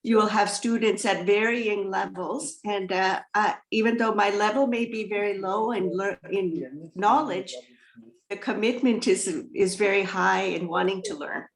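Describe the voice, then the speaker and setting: A woman's voice: medium pitch, fairly even intonation, measured pace. A woman from Canada whose first language is Tamil, a student speaking earnestly and humbly to her teacher, repeating herself as she goes. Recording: roomy, echoey sound.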